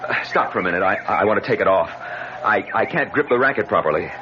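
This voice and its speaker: Radio drama suitor, young male, played by an actor: breezy, teasing, low and very animated, hesitant when flustered, noisy sound. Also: brisk, dull audio, close to an everyday voice. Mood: teasing, fussing. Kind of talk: dramatic radio play acting.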